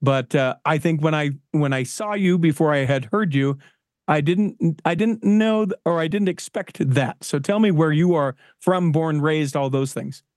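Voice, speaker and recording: An enthusiastic male podcast host rambles through his questions, often stumbling and restarting. His voice sits a little high, and the recording is close and dry. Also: moderate pace, a little mellow, dry recording. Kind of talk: casual interview.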